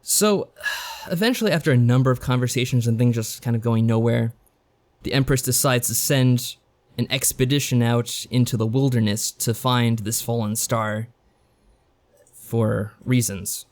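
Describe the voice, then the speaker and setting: A man's voice: medium pitch, normal speed, a little mellow. A man co-hosting a podcast in casual chat, giving a rambling, joking recap. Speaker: podcast co-host. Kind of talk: casual chat reviewing visual novels.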